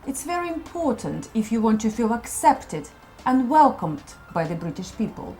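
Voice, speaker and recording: A woman's voice: on the high side, big pitch swings, normal speed, dark tone, soft background music. A woman with a Russian accent, narrating a scripted explainer about English customs in a friendly, explanatory way. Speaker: co-host teaching about English culture.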